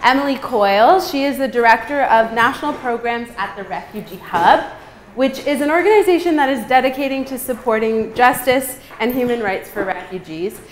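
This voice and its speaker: Bright woman introducing a speaker. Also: a little high, moderate pace, reverberant, slight background noise. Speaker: event host. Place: meeting room.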